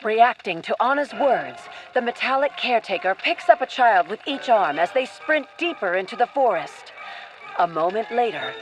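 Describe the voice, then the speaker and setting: A woman's voice: fairly high pitch, low-quality recording. A woman narrating an adventure radio play in a dramatic, scripted storytelling style.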